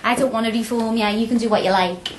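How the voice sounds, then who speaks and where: Woman acting as young working-class student, Liverpool accent, higher-pitched, outspoken, feisty, radio drama. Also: steady tone, fast talker, a little hiss or hum, lightly acted. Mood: chatty, reflective. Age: young adult. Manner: chatty, outspoken, colloquial.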